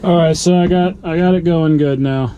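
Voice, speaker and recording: A man's voice: fairly high pitch, normal speed, narrow, tinny audio, a little hiss or hum. Easygoing man talking through a repair. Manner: relaxed, practical, thinking aloud with fillers. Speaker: channel host. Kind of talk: casual how-to narration. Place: truck cab and engine bay.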